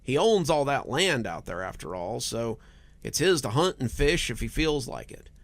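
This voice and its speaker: An unbothered local police officer in a horror story, read by a man. He is dismissive and matter-of-fact, with lively intonation and some fry in his voice. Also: medium pitch, normal speed, no echo, full character voice.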